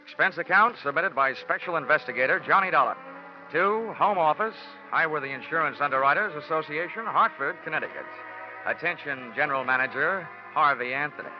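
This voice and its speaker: An actor playing a dry, sardonic freelance insurance investigator in a 1940s radio detective drama. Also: male voice, on the high side, animated, a little fast, close to an everyday voice. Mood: dry, wry. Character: wry, wisecracking male freelance insurance investigator. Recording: muffled 1940s radio broadcast, music behind.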